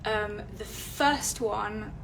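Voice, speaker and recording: A woman's voice: medium pitch, very slow, gravelly, fairly bright tone, low-quality recording. Woman with a French accent for a casual live vlog, hesitant and unpolished, slight background noise. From France. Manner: hesitant, many fillers and restarts. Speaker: illustrator and vlogger. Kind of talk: live video chat. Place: indoors at home, near a street.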